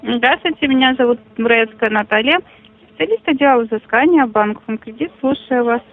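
A woman's voice: fairly high pitch, moderate pace. A woman speaking formally as a debt collection operator in a bank call center. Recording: narrowband telephone line.

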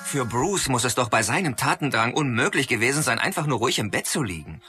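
An actor playing a keen commentator, explaining things with enthusiasm in a documentary-style radio play. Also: male voice, medium pitch, sing-song, fast talker, pushed and bright, faint music behind, heavily acted. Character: keen male commentator on a martial arts star. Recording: clear background noise with clicks.

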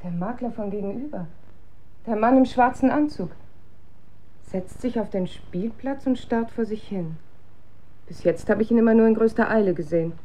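An actress playing an observant, opinionated wife in naturalistic radio drama, conversational and pointed. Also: female voice, on the high side, normal speed, long pauses, mellow, close to an everyday voice. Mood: curious, observant.

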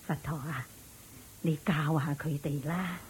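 A practical widowed mother who frets and scolds in a Buddhist radio drama. Her Hong Kong Cantonese is slow and dark, with a husky edge. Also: deep voice, fairly even intonation, heavily acted. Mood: practical, firm. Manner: sighing, fretful, scolding at interruptions. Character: anxious, practical widowed mother of two grown sons.

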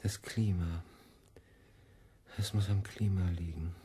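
An actor playing a worldly, condescending cardinal in a radio play, impatient and sardonic. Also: male voice, low-pitched, steady tone, slow pace, very noisy. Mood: dry, resigned. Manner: exasperated, sardonic, swinging from hushed to blustering.

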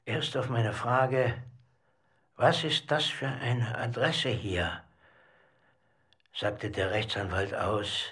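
An actor playing a survivor of persecution, giving sober, deliberate testimony in a documentary radio drama with a husky voice. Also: male voice, fairly low pitch, a bit bright, exaggerated voice.

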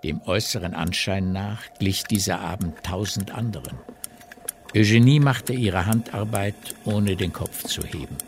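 A man with a fairly low voice narrates a literary radio play. He reads scripted prose, with slight noise in the background. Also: normal speed.

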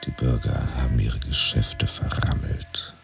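Radio drama lead: jobless brooder, bottom-register voice, dry measured inner monologue, prominent music underneath. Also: male voice, rumbling low, level tone, gravelly, heavily acted. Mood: brooding. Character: brooding man who lost his job, pretending to work.

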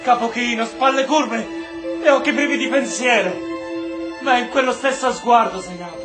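High-pitched, earnest prisoner. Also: male voice, moderate pace, reverberant, music in the background. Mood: tender, sorrowful. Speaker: actor. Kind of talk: Italian stage-style radio drama acting.

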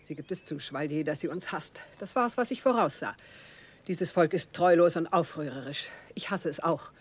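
Radio play role: imperious, pleasure-seeking queen, lowish voice, affected and condescending stage diction. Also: moderate pace, slightly rough. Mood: offended, disdainful. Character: haughty, capricious, pleasure-seeking queen of France.

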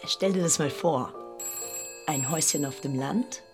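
An actress playing a lively, affectionate young lover in a naturalistic German radio drama, with a playful, teasing manner. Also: female voice, low voice, a little slow, music in the background. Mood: tender.

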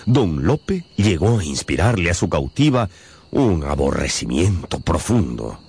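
A man's voice: deep voice, very animated, unhurried, darkish tone, husky. A man narrating a radio drama in a dramatic, scripted storytelling style. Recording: music behind the voice.

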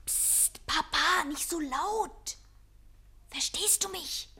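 A very high-pitched young daughter in a radio play. She is devoted and anxious, speaking in an urgent, secretive, theatrical way. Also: slow pace, gravelly, pushed and bright, exaggerated voice.

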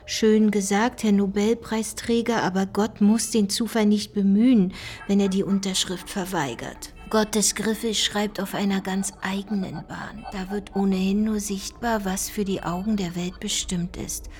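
Actress as sober female investigator, radio drama, mid-pitched, precise and sceptical. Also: fairly even intonation, measured pace, a little mellow, soft background music, lightly acted. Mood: sober, measured. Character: sober, sceptical woman investigator reading out secret files. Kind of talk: radio play dialogue and document reading.

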